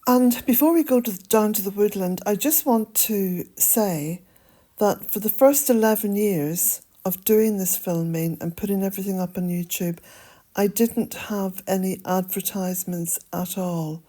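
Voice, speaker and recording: An Irish woman narrating a gentle garden vlog in a soft, reflective way, sometimes dropping almost to a whisper. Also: mid-range voice, measured pace, dry recording. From Ireland. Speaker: vlogger. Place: outdoors in a woodland garden, birds nearby.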